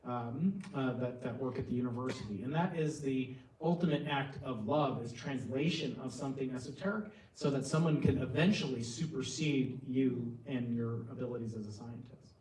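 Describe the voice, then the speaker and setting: A man's voice: medium pitch, moderate pace, darkish tone, a little hiss or hum. A man on a panel, answering an audience question in a chatty way with many restarts. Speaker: panelist scientist. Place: lecture hall stage. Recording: roomy hall, mic at a distance.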